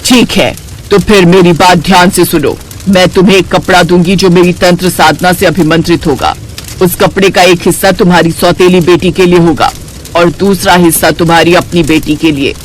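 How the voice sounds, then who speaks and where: A woman's voice: fairly low pitch, steady tone, normal speed, a little hiss or hum, lightly acted. Woman playing an ominous, greedy sorceress. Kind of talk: Hindi cartoon story character acting.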